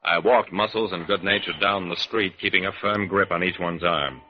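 An actor playing a wry, commanding hard-boiled detective, narrating deadpan in a 1940s-style radio drama. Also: male voice, low-pitched, moderate pace, close to an everyday voice. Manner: deadpan, wisecracking. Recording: dull old radio recording.

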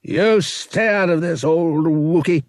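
A man's voice: a little high, slow pace, no room sound, studio quality, voice pushed up. A man voicing a cruel, ruthless starship captain in an audiobook, with a harsh, menacing, commanding snarl. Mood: snapping.

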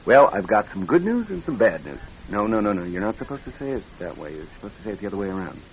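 A lively-voiced skydiving husband in an old radio play, casual and anxious underneath. His low voice moves around a lot in pitch. The recording is muffled, with some hiss. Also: quick pace. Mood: joking, hesitant. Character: nightmare-plagued skydiving husband, jokey and anxious. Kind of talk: radio drama acting.

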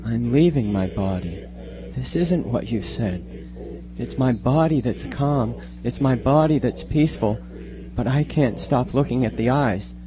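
An earnest young man caught in a cult, played by a male actor, speaks with bewildered wonder at an ordinary middle pitch. It is radio horror acting with clear crowd sound behind. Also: expressive, unhurried, lightly acted, rough recording. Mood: entranced wonder.

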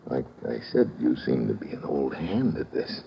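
An actor playing a tough, wisecracking American secret agent in an old-time radio drama, talking in a casual, slangy, wry way. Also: male voice, extremely low pitch, big pitch swings, normal speed, vocal fry, dark tone, heavily acted, rough recording. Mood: hushed, watchful. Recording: faintly hissy vintage radio recording.

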